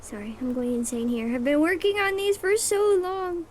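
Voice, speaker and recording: A young woman with a soft voice chatting casually and rambling while she draws on a livestream. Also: very high-pitched, expressive, moderate pace, a little hiss or hum. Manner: chatty, rambling, self-deprecating, mutters settings under her breath. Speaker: streamer. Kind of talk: casual chat while drawing on stream. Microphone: streaming mic.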